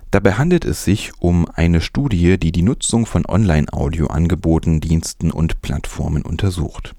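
Tiefe, weiche Männerstimme als Nachrichtensprecher. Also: male voice, sits low, dark tone, dry recording, clean studio sound. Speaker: news presenter. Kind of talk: scripted news narration.

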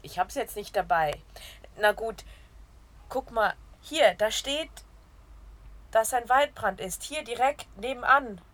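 Slow-paced woman narrating a story. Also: on the high side, expressive, fairly bright tone. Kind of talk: scripted second-person audio-story narration with read dialogue.

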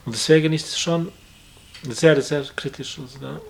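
A man from abroad answering interview questions in a halting way, repeating words and restarting sentences. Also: a little high, gravelly, a little hiss or hum. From outside Germany. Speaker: interviewee, acquaintance of the victim. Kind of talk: hesitant conversational interview.

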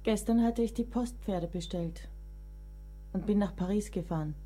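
An actress playing a proud, resigned middle-aged woman writer of the 19th century in a German radio play, measured and restrained. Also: mid-range voice, warm tone, breathy edge, faint music behind, close to an everyday voice. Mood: resigned farewell. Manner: measured, literary, restrained delivery.

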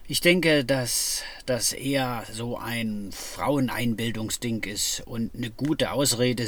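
Smug male commenter, average pitch, dismissive comment-thread delivery. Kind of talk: audio collage of social media comments.

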